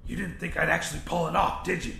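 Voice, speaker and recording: A man's voice: on the high side, fairly even intonation, fast talker, vocal fry, bright, forceful tone, echoey room, soft background music, voice pushed up, full character voice. A man voicing a crazed, vengeful young rebel with a sneering, ranting delivery in a horror audiobook. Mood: sneering rage. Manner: taunting, ranting. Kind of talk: dramatic horror audiobook dialogue.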